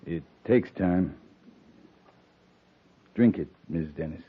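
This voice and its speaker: Frontier cavalry captain: low, gruff, plain-spoken, decent. Vintage radio drama, phone-like band, faint noise. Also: male voice, slow. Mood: subdued, steady. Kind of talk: 1950s radio drama acting. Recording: tinny, faintly noisy 1950s radio recording.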